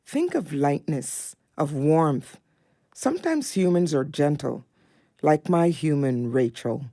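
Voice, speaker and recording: A woman playing a gentle, hopeful dog in an audio drama, calm, measured and tender. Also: low voice, dry recording, clean studio sound, heavily acted.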